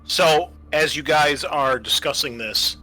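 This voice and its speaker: A man acting as game master, explaining rulings and narrating a tabletop roleplaying game in a measured way with restarts. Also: on the high side, sharp tone, soft background music, rough recording.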